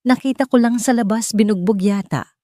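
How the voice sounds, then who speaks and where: A woman's voice: a little high, moderate pace, dark tone, no echo, clean studio sound, pitched above the performer's own voice, lightly acted. A woman doing an old, worried security guard. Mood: urgent. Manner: urgent, strained from carrying someone.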